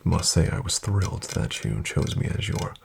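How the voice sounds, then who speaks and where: A man playing a suave, flattering financial advisor in a soft-spoken ASMR roleplay, hushed, courteous and unhurried, often near a whisper. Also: low-pitched, creaky, a little hiss or hum, heavily acted. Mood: welcoming, courteous. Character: suave, flattering male financial advisor to wealthy elite clients.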